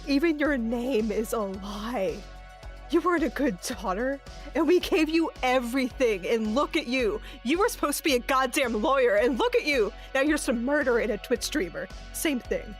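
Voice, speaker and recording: A woman's voice: high-pitched, animated, moderate pace, soft background music. A woman playing a domineering, contemptuous, foul-mouthed middle-aged mother in a horror-comedy audio drama, scolding theatrically. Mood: bitter contempt.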